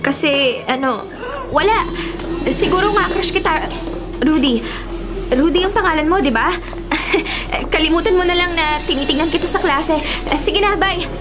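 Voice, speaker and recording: A woman's voice: very high voice, normal speed, long pauses. A woman playing a sassy, emphatic young college student with a Filipino accent in a radio drama. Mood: evasive. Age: young adult. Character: sassy young female student who secretly sees spirits. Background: music bed.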